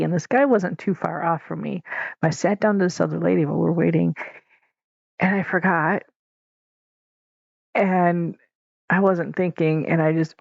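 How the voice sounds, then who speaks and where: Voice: mid-range voice, creaky, husky, no echo. A podcast host from the northern United States talking through a casual, candid solo episode, rambling with fillers and restarts and chuckling often. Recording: dull lo-fi home podcast recording.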